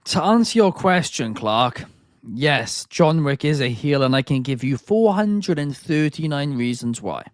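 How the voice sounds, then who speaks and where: Very high-pitched man, lively podcast host. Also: unhurried, dry recording, studio quality. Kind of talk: animated casual sports debate.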